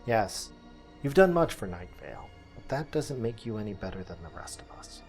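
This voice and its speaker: A man playing an earnest small-town radio host, delivering a scripted monologue deliberately, with dramatic pauses and emphasis. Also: fairly low pitch, big pitch swings, creaky, darkish tone, faint music behind. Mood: begrudging, earnest.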